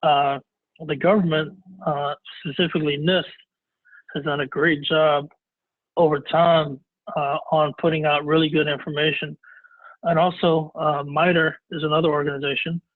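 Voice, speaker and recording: A man with a cold, his voice raspy and hoarse, presenting a webinar in a halting way full of fillers and restarts. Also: a little high, flat delivery, no echo. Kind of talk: casual presentation. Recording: dry, tinny phone-like line.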